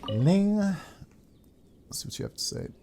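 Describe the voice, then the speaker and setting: A man's voice: high voice, big pitch swings, unhurried, noisy background. A man playing a video game and commenting casually, swearing and muttering asides to himself.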